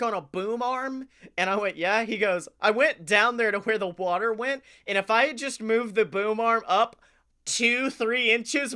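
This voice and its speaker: An American man co-hosting a comedy podcast, telling stories casually in an animated, sweary way with chuckles in his speech. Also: sits high, clear, resonant tone. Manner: animated, swearing, often chuckling while talking. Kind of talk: casual comic storytelling.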